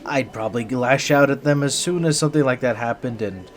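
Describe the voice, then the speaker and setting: A man acts out a young bunny-boy hybrid in an intimate ASMR roleplay. He is bashful and easily flustered, tripping over his words in a mid-pitched voice. He speaks straight to the listener with earnest, gentle sweetness. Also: a little fast, natural voice. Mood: shy, sympathetic. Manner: stammering, hesitant, bashful, earnest.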